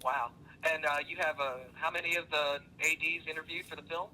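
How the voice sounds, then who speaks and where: A man hosting a radio talk show, interviewing guests in a relaxed way, with plenty of "uh" and "um" between words. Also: on the high side, a little fast, slight background noise, low-quality recording. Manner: filler-heavy, friendly, conversational.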